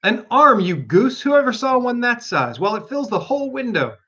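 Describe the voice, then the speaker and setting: A bossy little gentleman rabbit from a storybook, acted out by a man, pitched high and full of irritation. He snaps his orders imperiously and swoops up and down. The recording is a little dull. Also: very animated, normal speed, heavily acted. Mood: angry, commanding.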